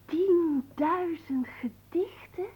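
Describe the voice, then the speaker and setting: An actress in a Dutch radio play playing a naive, coy young chambermaid, hesitant, breathless and plaintive. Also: female voice, very high-pitched, extremely slow, phone-quality sound, full character voice. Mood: enchanted.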